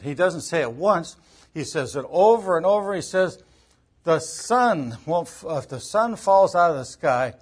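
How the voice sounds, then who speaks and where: A man's voice: mid-range voice, slightly rough. A man giving an emphatic Bible lecture, driving points home with repetition and rhetorical questions. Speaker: Bible teacher, preacher. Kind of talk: teaching lecture on biblical interpretation.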